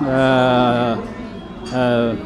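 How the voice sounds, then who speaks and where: Middle aged guy, normal pitch, chef doing a casual interview, simple direct talk, repeats himself, music behind him. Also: monotone, extremely slow. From France. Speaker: pastry chef and business owner being interviewed. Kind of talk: casual personal testimonial.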